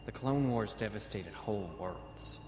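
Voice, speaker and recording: A man's voice: lowish voice, lively intonation, unhurried, background noise. An actor playing a wary young male cadet who explains things in an audio comic drama. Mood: guarded. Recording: roomy echo, music behind.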